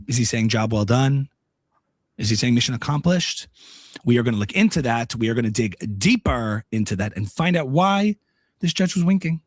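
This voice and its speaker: A man's voice: mid-range voice, breathy edge, no room sound, studio quality. A man playing a smug, suspicious female conspiracy podcaster, repeating phrases insistently in a mock-dramatic commentary. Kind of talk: satirical impersonation of a commentary podcast.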